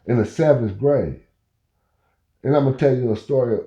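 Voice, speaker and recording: A man's voice: medium pitch, normal speed, mellow. A man in his fifties telling a rambling story in casual talk, often checking in with "you know where I'm coming from.". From Oklahoma. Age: 50s. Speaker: podcast host. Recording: muffled, echoey room.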